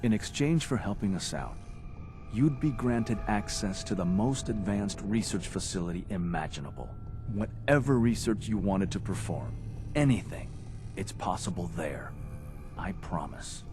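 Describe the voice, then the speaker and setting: A man's voice: lowish voice, animated, unhurried, over music. A man playing an earnest, reassuring institute operative in a video game, with a persuasive manner.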